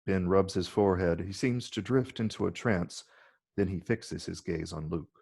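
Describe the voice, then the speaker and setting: Male narrator, low gravelly voice, husky, scripted stage directions. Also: normal speed, a little mellow.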